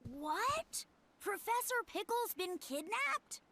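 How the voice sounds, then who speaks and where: A woman's voice: very high voice, sing-song, takes it slowly, pushed and bright, exaggerated voice. A woman voicing a young, earnest and eager fox boy sidekick in a video game cutscene.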